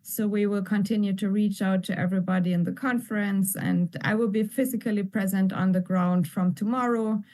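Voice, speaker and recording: A woman presenter giving closing remarks in a hybrid online session, inviting the audience warmly and thanking them at length. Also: mid-range voice, steady tone, brisk, hardly any pauses. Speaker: conference presenter. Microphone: video call.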